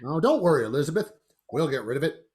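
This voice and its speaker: A blustering, low-pitched, animated father. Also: fast talker. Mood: dismissive. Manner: brash, dismissive, hearty. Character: blustering, skeptical, confident father of an American family. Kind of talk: radio play acting.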